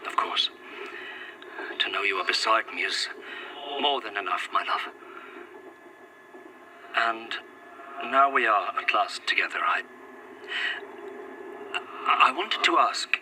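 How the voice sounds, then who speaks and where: An actor playing a devoted, courtly Victorian gentleman in a gothic audio drama, speaking in a formal, earnest, old-fashioned way. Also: fairly low pitch, expressive, slow, long pauses, background noise. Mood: hesitant, moved. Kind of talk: found-footage gothic audio drama acting.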